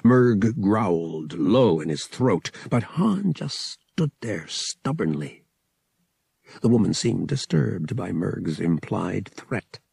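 A man narrates an audiobook with a husky, gravelly voice. His delivery is dramatic and scripted, swinging widely in pitch, and the sound is close and dry. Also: very animated, slow, a little mellow, no room sound.

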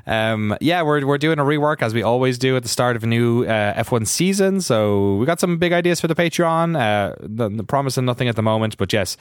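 An Irish man co-hosting a podcast, chatting casually with jokes and quick laughs. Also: medium pitch, lively intonation, smooth, no echo, studio quality. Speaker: podcast co-host. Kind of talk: casual chat.

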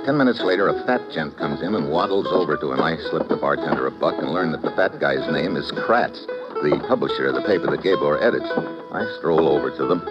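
A man's voice: rumbling low, a little fast. A man playing a tough, wisecracking American agent who also narrates the story in first person, in breezy hard-boiled radio drama style. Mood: narrating. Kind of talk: 1950s radio drama acting. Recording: dull vintage radio broadcast with music.

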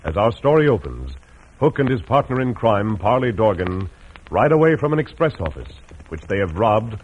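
A man's voice: on the low side, sing-song, normal speed, slight background noise. An American man announcing and narrating an old radio western in a declamatory, dramatic style. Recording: muffled old radio recording.

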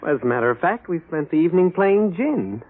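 Courteous robot double, husky old-radio voice. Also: male voice, a little high, very animated, a little fast, narrow, tinny audio. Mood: polite, servile. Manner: courteous, formal. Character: robot double of a man, polite, brooding, increasingly defiant. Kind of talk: old-time radio drama acting. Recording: band-limited old radio broadcast recording.

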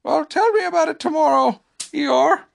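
High-pitched, polite little bear. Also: expressive, creaky, heavily acted. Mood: sleepy, questioning. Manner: hesitant, polite, slow-witted puzzlement. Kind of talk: children's storybook character reading.

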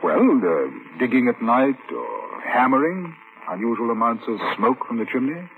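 Courteous police inspector, formal and methodical, animated pitch swings, phone-like vintage radio sound. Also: male voice, gravelly. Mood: polite questioning. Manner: formal, measured, probing questions. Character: methodical, courteous male police inspector narrating his investigation. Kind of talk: 1940s-1950s radio drama acting and first-person narration. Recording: tinny, hissy vintage radio recording.

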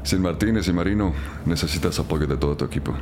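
A man's voice: sits low, fast, background noise, natural voice. An actor playing a composed, knowing male police investigator in an audio drama, explaining things in a self-assured way. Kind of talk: radio drama acting.

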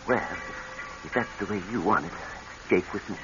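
An actor playing a nervous, indignant crook, agitated and halting, in a radio drama. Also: male voice, deep voice, lightly acted. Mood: alarmed. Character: nervous, indignant male crook, easily rattled. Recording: muffled old radio show, faint music.

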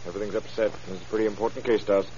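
An actor in a 1940s-style radio drama playing a brisk, apologetic big-city police captain. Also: male voice, on the low side, fairly even intonation, a little mellow, natural voice. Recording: hissy, muffled old radio recording.

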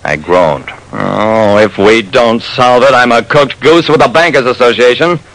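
A man's voice: medium pitch, measured pace, a bit bright, rough recording. A man narrating a classic radio detective drama as its lead, in a wry, dramatic first-person storytelling manner.

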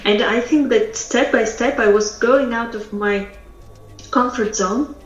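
A woman from Russia, a public speaking trainer telling her story as an interview guest, slow and thoughtful with many hesitations. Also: fairly high pitch. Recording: roomy echo, dull lo-fi sound, faint music.

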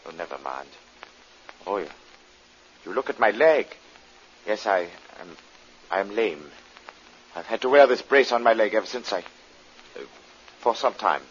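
An actor playing a suave, fussy spy villain in an old-time radio drama, speaking in a husky, pompous and precise manner. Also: male voice, on the low side, sing-song, measured pace, long pauses, heavily acted. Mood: indignant, fussy. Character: suave, fussy, menacing male spy feigning indignant mourner. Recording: dull vintage radio drama recording.

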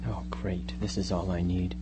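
A man acts as a worried young son in an amateur radio play. His voice is low and earnest, over a noticeable hum and noise. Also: flat delivery, normal speed, lightly acted. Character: anxious young son yearning to be himself. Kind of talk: live amateur radio drama acting.

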